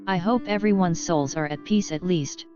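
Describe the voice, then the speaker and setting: A woman with a low voice reads out a scripted review as a blunt, opinionated critic. The recording is a little dull and lo-fi, with guitar music playing clearly behind her. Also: normal speed. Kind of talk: scripted video review narration.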